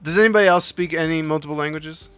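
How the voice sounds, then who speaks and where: A man's voice: fairly high pitch, fast talker, a bit bright, smooth, no echo, faint music behind. A young man from New Jersey hosting a live stream, chatting casually with his chat, rambling and laughing often. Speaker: livestream host.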